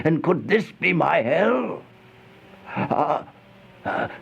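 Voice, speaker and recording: An actor playing a horrified man telling his nightmare in a halting, gasping, theatrical way, in an old radio horror monologue. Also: on the high side, vocal fry, full character voice. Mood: dazed, anguished. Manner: halting, gasping, theatrical delivery with drawn-out dread. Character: horrified man narrating his nightmare in a plague-haunted house. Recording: muffled, noisy old radio recording.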